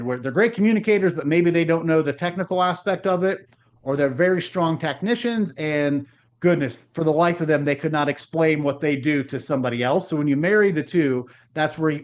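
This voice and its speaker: A man hosting a podcast, speaking in a polished, upbeat presenter manner. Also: on the high side. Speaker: podcast host. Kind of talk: podcast host intro and interview.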